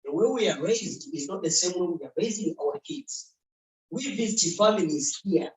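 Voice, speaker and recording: A middle-aged Ugandan man, speaking earnestly in short phrases as a community speaker at a public event. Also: high voice. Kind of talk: unscripted public talk. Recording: roomy sound picked up from far off.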